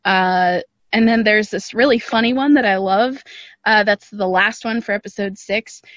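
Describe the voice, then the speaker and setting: A woman's voice: medium pitch, normal speed, fairly bright tone, no echo, highs cut off. A woman guest on a podcast chatting casually about her creative work, in a giggly, self-deprecating way. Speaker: guest, audio drama creator. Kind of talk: casual interview chat. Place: calling in remotely to a podcast.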